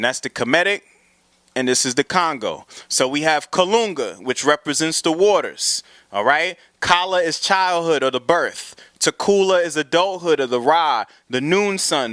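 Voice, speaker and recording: A man's voice: fairly high pitch, bright, forceful tone, clean tone, no echo, clean studio sound. A man giving a casual, rambling lecture on film symbolism, often checking in with his listeners. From family from Saint Croix and Guyana. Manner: preachy, rambling, asks "you know what I'm saying". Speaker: presenter of a talk show segment.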